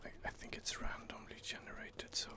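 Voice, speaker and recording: A man whispering soft, hesitant commentary while playing a fantasy game, close to the microphone. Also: brisk. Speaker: gameplay streamer. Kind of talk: whispered ASMR gameplay commentary.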